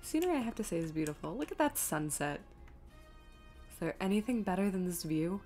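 A woman's voice: fairly low pitch, sing-song, brisk, mellow, faint music behind. A woman streaming a game, giving casual, self-deprecating commentary as she rambles and thinks aloud. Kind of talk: casual live gameplay commentary, reading chat aloud.

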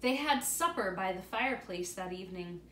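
A woman's voice: medium pitch, lively intonation, normal speed, a bit bright. Roomy, distant woman reading to children. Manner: simple, explanatory address to young children. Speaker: teacher reading aloud to children. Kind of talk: storybook read-aloud with explanatory asides to children. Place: indoor room beside a large glass door. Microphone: camera a few feet away. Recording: roomy, distant camera mic with faint noise.

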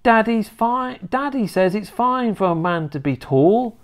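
A woman's voice: mid-range voice, animated, measured pace, pitched above the performer's own voice, lightly acted. A woman voicing an earnest eight-year-old boy in a children's storybook, plaintive, innocent and objecting. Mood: puzzled.

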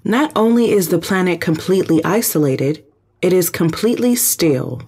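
A performer voicing a calm, precise spaceship computer in an audio drama, speaking formally and literally. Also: mid-range voice, normal speed, slightly rough. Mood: analytical, calm. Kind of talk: audio drama, analytical reporting.

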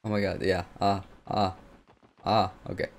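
A man's voice: low voice, little pitch movement, slow pace. A man giving casual game commentary, rambling and correcting himself as he thinks aloud. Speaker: game streamer commenting on a playthrough.

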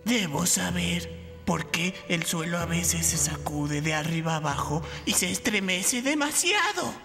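A woman's voice: lowish voice, animated, measured pace. A woman voicing an earnest, awestruck small furry animal with a reverent manner in a cartoon dub. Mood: reverent, awed. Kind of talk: animated film dub acting. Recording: long cavernous reverb under music. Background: music.

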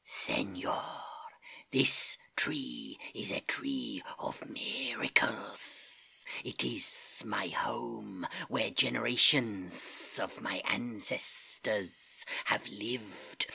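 A reader voicing a boa constrictor, hissing, slow, breathy whisper, storybook snake. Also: mid-range voice, expressive, bright, forceful tone, heavily acted. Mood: hushed warning. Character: huge male boa constrictor, hissing and menacing.

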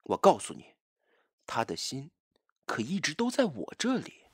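A man playing a cocky, taunting rival in love in an audio drama, with a husky, theatrical drawl. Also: on the high side, huge pitch swings, normal speed, slight background noise. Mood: taunting, threatening.

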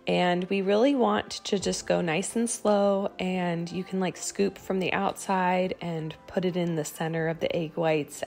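A woman's voice: fairly low pitch, creaky, darkish tone. An American woman giving a casual recipe voice-over in a conversational, explanatory way, as a home baking vlogger.

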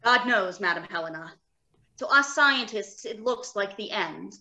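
A woman playing an earnest, uneasy doctor of robot science in a radio drama, deliberate and emphatic. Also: mid-range voice, lively intonation, pushed and bright. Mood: resigned, grave. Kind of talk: old-time radio drama acting. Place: home, remote video call.

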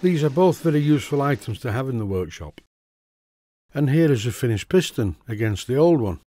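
A middle-aged man with a Yorkshire accent, giving a steady, methodical workshop tutorial voice-over about model engine repair. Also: medium pitch, fairly quick, dry recording. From Yorkshire, England. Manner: steady, methodical, plain-spoken instruction. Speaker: model engineering channel host.

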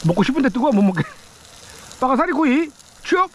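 A man's voice: extremely high pitch, big pitch swings, quick pace, a little hiss or hum. A man vlogging on a rocky riverbank, chatting and exclaiming to himself as he eats and fishes, recorded on a head-mounted camera. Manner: chatty, exclaiming, talks to himself while eating and fishing. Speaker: fishing vlogger. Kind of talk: casual outdoor vlog narration. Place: rocky riverbank outdoors at night and day. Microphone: head-mounted action camera.

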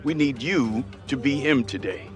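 A calm, plain-spoken American football coach in a game cutscene, acted by a man. His voice sits at a medium pitch, with music clearly behind it. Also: normal speed, natural voice. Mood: instructing. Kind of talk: video game cutscene acting.

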